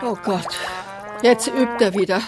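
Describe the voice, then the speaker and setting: A woman's voice: on the high side, a little slow, music in the background, close to an everyday voice. An actress playing an eccentric, chatty mother in a radio play, rambling and exclamatory. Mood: exasperated, fussing. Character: eccentric, chatty mother fussing over pet fish. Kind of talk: radio drama acting.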